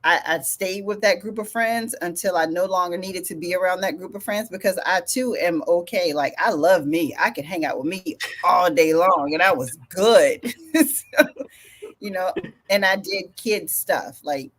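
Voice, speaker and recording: A woman co-hosts a podcast conversation. Her voice sits a little low, and she tells stories in a relaxed, easy way, laughing often between thoughts. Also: normal speed.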